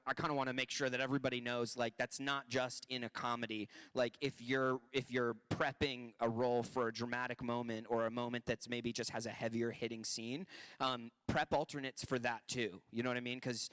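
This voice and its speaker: A man's voice: mid-range voice, little pitch movement, a little fast, fairly bright tone, clean tone. A man in his twenties, an actor, talking upbeat and at length on a panel, often restarting his sentences. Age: 20s. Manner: upbeat, talkative, many restarts. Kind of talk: panel talk.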